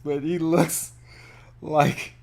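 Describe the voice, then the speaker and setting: A man's voice: on the high side, level tone, extremely slow, creaky, darkish tone. A man chatting casually as he works on his game art, muttering to himself and exclaiming in a self-deprecating way. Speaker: indie game developer and animator streaming his work. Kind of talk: casual devlog chat while drawing, thinking aloud.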